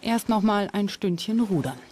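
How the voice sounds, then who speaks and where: A woman's voice: medium pitch, lively intonation, normal speed, a little mellow, slight background noise. A woman reading scripted documentary narration as the narrator. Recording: music behind the voice. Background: background music.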